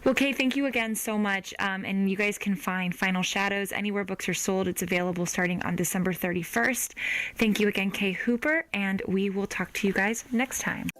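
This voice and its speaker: A woman's voice: medium pitch, fairly even intonation, brisk, fairly bright tone, poor audio. A woman hosting a podcast in a casual, chatty, conversational way. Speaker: podcast host.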